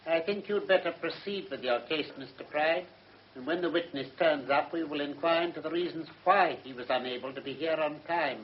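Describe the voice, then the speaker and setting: A man playing a stern, impatient British High Court judge in a radio drama, curt, pompous and authoritative. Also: brisk, natural voice. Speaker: actor. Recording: muffled vintage radio drama recording.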